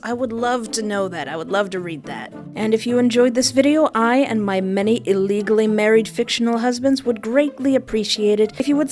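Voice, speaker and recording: A woman video host giving scripted commentary with comic asides, leaning on single words with dramatic emphasis. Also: mid-range voice. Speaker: video host, visual novel streamer. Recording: background music under voice. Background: music.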